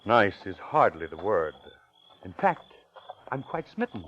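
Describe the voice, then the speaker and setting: A man's voice: fairly low pitch, big pitch swings, a little slow. Suave, swooping genteel con man. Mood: genial, charmed. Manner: ornate, courtly, chuckling diction. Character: smooth, genteel male con man posing as professor. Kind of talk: old-time radio acting with first-person narration. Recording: muffled old radio recording, faint music.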